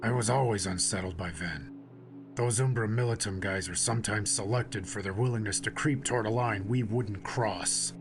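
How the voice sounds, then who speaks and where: A man playing a serious, reserved warrior in a space hero audio drama, measured and solemn in his speech. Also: on the low side, music in the background, close to an everyday voice. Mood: wary. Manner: measured, solemn, terse literal phrasing.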